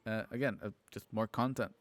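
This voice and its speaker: A man hosting an online show, chatting casually and haltingly on a livestream about plans for it. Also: fairly low pitch, fairly even intonation, dry recording. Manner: halting, informal. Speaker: host and organizer of an online radio drama show. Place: at home on a multistream video call.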